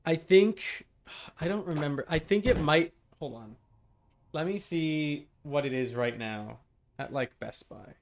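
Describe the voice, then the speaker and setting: A man's voice: a little high, lively intonation, measured pace. A man live streaming a game, talking casually and bluntly with exasperated, sweary asides. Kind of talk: casual gaming stream chat. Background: game music.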